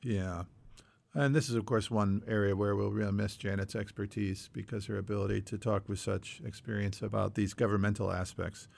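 Man, low pitch, gravelly fry, measured explainer, conversational news discussion, careful qualifiers, podcast co-host. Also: level tone, darkish tone. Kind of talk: conversational news discussion working through numbered points.

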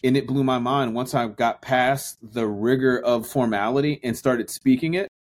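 A man co-hosting a podcast, thinking out loud in loose, casual talk. He often restarts his sentences and stretches out key phrases while he works toward his point. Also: mid-range voice, normal speed. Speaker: podcast co-host. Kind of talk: casual discussion.

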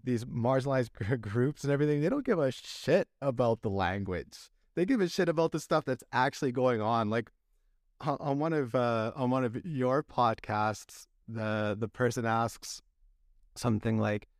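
A man's voice: medium pitch, no echo, clean studio sound. A young man hosting a casual podcast interview, making his points earnestly and rambling with restarts. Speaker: podcast host.

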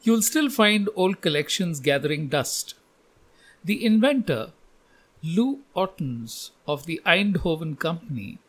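A man hosting a podcast and reading scripted narration. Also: fairly high pitch. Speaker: podcast host.

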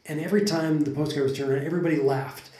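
A man's voice: a little high, steady tone, very fast, a little mellow. A man co-hosting a podcast, talking things through thoughtfully with many restarts and fillers. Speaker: podcast co-host. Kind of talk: casual conversation. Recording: roomy echo.

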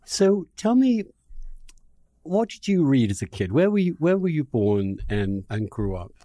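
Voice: medium pitch, very animated, unhurried, mellow, no echo. A podcast host asking casual interview questions, often stammering and repeating words before landing on the point.

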